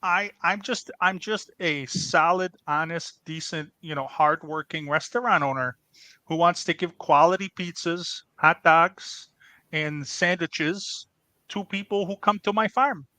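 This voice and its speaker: A man's voice: on the high side, unhurried, sharp tone, no echo. A man playing a blustering, defensive small-time crook and pizzeria owner with a Chicago accent, in improvised comedy banter. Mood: proud, boastful. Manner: blustering, defensive, repeats himself, wiseguy phrasing.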